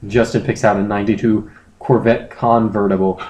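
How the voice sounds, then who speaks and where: A man's voice: fairly low pitch, flat delivery, normal speed, darkish tone, highs cut off. A man co-hosting a comedy podcast, moving between casual banter and mock-dramatic narration. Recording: roomy, echoey sound.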